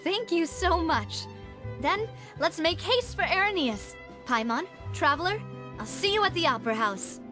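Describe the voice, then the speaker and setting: A woman playing a poised, determined young lady investigator in a video game, composed and expressive. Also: very high-pitched, normal speed, over music. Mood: grateful.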